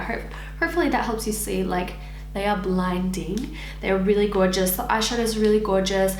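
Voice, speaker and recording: A young woman with an Australian accent and a husky, raspy voice from losing it, chatting through a casual makeup review. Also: mid-range voice, reverberant, a little hiss or hum. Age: young adult. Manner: chatty, filler-heavy, animated hand gestures. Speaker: beauty vlogger. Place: indoor room against pink backdrop.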